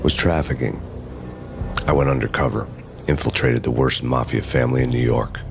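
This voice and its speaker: An actor playing a world-weary undercover cop who narrates a noir story in a dry, sardonic way. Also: male voice, extremely low pitch, steady tone, normal speed, gravelly. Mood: grim. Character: grim hard-boiled male undercover cop narrating. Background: music.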